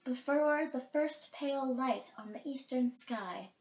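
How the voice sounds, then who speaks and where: A woman voicing a matter-of-fact storybook muskrat in an assured, deliberate way. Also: high voice, darkish tone, voice pushed up. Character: calm, matter-of-fact munching muskrat by a pond. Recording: roomy, echoing space.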